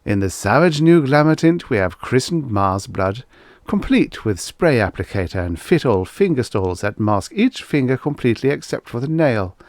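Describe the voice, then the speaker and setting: A man's voice: mid-range voice, big pitch swings, moderate pace, a little mellow, dry recording, clean studio sound, pitched above the performer's own voice, natural voice. A man voicing a persistent, chirpy robot salesman in a storybook reading, delivering emphatic sales patter. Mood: salesy, upbeat.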